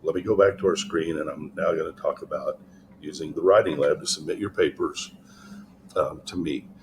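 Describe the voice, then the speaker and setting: Low-voiced older man tutoring on screen. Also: moderate pace, a little hiss or hum. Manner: relaxed, explanatory, frequent ums. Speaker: college writing tutor. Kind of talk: screen-share tutorial walkthrough. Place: office, indoors.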